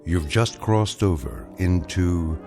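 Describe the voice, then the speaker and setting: An actor playing a grave, eerie narrator who delivers a portentous introduction to a radio drama. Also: male voice, low voice, over loud music. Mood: ominous. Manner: portentous, deliberate. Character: grave, eerie narrator ushering listeners into another dimension.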